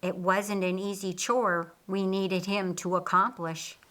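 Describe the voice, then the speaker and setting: A patient, wise man teaching in a storybook, voiced by a woman. He explains slowly and with measure. The tone is bright and clear in purpose. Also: medium pitch, level tone, close to an everyday voice.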